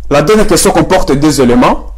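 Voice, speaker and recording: Halting male interviewer, higher-pitched, Congolese French accent. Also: animated, slight background noise. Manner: halting, with repeated words. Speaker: journalist hosting a political interview. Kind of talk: formal broadcast interview.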